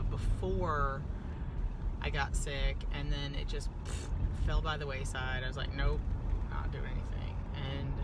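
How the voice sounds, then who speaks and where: A woman's voice: sits low, heavy background noise. Chatty woman with a lingering cough. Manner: rambling, chatty, lots of fillers and restarts. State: lingering cough after flu, voice a bit off. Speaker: vlogger. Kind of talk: casual health update vlog.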